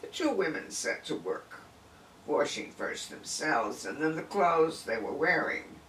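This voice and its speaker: A man's voice: fairly high pitch, very animated, unhurried, a bit bright. A man reading a folk tale aloud expressively, with dramatic, emphatic phrasing. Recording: distant, echoey room with faint noise.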